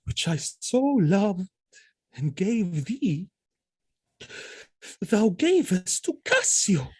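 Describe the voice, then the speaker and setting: Male actor as tormented jealous husband, Shakespearean audio drama, solemn declamation, breathy rough voice, unhurried, dry sound. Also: sits high, big pitch swings, darkish tone, dry recording, heavily acted. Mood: accusing, anguished. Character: jealous, murderous husband, grave and tormented military lord.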